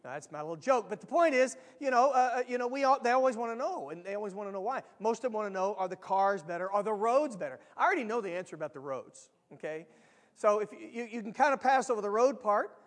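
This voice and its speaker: American pastor, kinda high voice, earnest sermon, big hall echo. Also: male voice, lively intonation, fast. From United States. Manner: earnest, swells loud on key lines, repeats himself. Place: church sanctuary.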